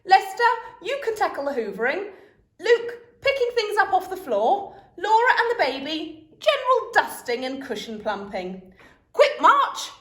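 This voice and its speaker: Woman doing a brisk bossy dad. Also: extremely high pitch, big pitch swings, reverberant, voice pushed up, heavily acted. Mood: jolly commanding. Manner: brisk, commanding, sergeant-like. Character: bossy cheerful father taking charge.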